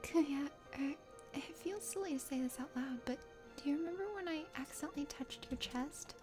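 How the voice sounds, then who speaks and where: A woman acts out a brave, earnest young swordswoman from a fantasy dating game. The voice is high and eager, easily flustered. Music plays clearly behind it. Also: moderate pace, close to an everyday voice.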